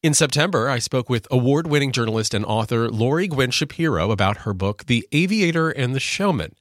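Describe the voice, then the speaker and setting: A man hosts a radio programme from a script. His voice has an average male pitch and a very dry, studio-close sound with no room around it. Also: animated, fairly quick, no room sound. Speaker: radio host.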